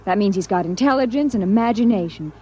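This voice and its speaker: A woman's voice: mid-range voice, animated, fast talker, a little mellow, natural voice. An American woman playing a fussy, fretful housewife and mother who coaxes and scolds, in a 1950s radio drama. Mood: coaxing. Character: fretful, nagging housewife and mother, flustered but devoted. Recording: noisy vintage 1950s radio broadcast.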